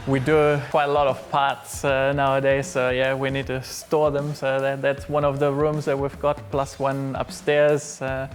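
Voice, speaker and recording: German-accented man, flat hesitant speech. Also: mid-range voice, a little slow, few pauses, faint music behind. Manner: relaxed, hesitant, many fillers and restarts. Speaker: workshop owner. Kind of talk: casual interview.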